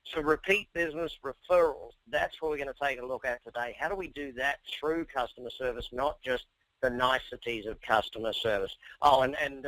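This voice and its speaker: Bright-voiced Australian man coaching by webinar. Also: medium pitch, no room sound, poor audio. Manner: energetic, persuasive, talks straight to listeners. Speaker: business coach. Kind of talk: webinar presentation. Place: office or home.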